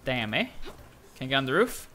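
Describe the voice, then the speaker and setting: A man's voice: medium pitch, brisk, a little hiss or hum. A young man on a headset giving casual, jokey commentary while playing a shooter, talking back to the game. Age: young adult. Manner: excitable, jokey, talks to the game. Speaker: gaming streamer. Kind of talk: casual let's-play commentary. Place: small room at a desk.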